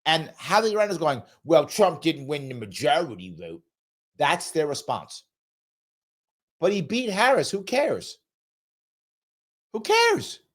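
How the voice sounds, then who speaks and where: A man's voice: a little high, wildly swooping pitch, slow, pauses often, fairly bright tone. A man hosting a political talk show, reading out viewer comments and answering them bluntly, repeating his points, with a cough he is getting over. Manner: blunt, emphatic, repeats himself for emphasis. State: lingering cough.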